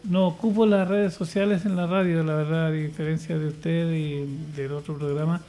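A man's voice: a little high, fairly even intonation, moderate pace, warm tone, faint music behind. An older man speaking in casual radio chat, hesitant and rambling, with many restarts and fillers. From Chile. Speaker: radio guest, church choir leader.

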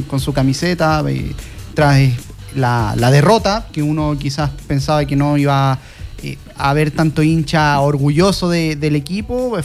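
Higher-pitched Chilean football reporter, measured. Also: male voice, darkish tone. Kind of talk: conversational match report.

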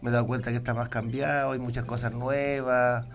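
A man's voice: medium pitch, little pitch movement, fairly quick. A Chilean man speaking as a guest in a casual radio interview, friendly, often repeating himself as he searches for words. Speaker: circus spokesman, radio guest. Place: radio studio.

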